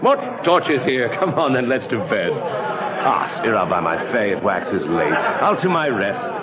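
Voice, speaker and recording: Blustering lord, swooping low voice. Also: male voice, sing-song, a little slow. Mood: jovial. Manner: blustering, commanding. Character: domineering older uncle and lord, genial host, quick to scold. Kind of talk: Shakespearean radio drama acting. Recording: party crowd chatter behind.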